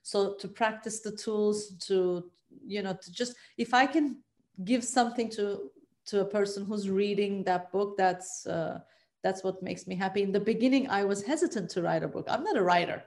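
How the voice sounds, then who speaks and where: A woman whose first language is Turkish speaks gently and earnestly as a trauma therapist guest in a casual interview, often pausing and hesitating. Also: medium pitch. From Turkey.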